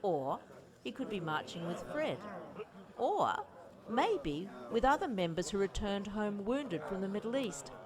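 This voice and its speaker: A woman playing a caring, practical wartime mother organising her grown family in a radio play. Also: mid-range voice. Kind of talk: amateur radio play dialogue.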